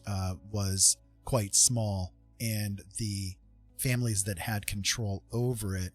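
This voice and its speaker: A middle-aged man with an American accent talks in a fairly low voice over faint music. He is chatting on a livestream in a reflective, casual way. His delivery is earnest and emphatic, with halting pauses as he thinks. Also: steady tone, slightly rough. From Indiana, United States. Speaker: livestream host.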